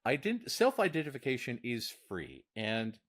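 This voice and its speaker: A man's voice: huge pitch swings, normal speed, a bit bright. A man, co-hosting a casual podcast as a diagnostician, often restarting his words and laughing easily. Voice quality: deep voice.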